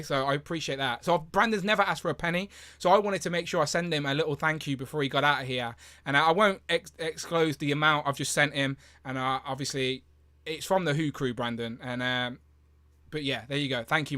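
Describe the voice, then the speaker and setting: A man hosting a live stream, his voice going hoarse, rambling with plenty of fillers and restarts. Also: a little high, pushed and bright, no echo. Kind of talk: casual live stream chat.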